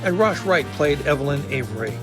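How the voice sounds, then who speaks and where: An American man announces scripted credits in a slightly high voice. Clear music plays underneath him. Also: animated, a little fast. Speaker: writer and announcer.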